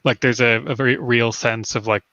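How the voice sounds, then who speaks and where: A man's voice: mid-range voice, fairly even intonation, a little fast, sharp tone, no room sound. A young man chatting casually as a stream guest, full of fillers. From Northern Ireland. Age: young adult. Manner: rambling with many fillers. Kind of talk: casual chat. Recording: dull, slightly lo-fi mic.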